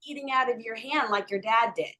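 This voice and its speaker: A woman's voice: fairly high pitch, a little fast, pushed and bright. A woman from Los Angeles co-hosting a casual interview over a video call, emphatic and opinionated.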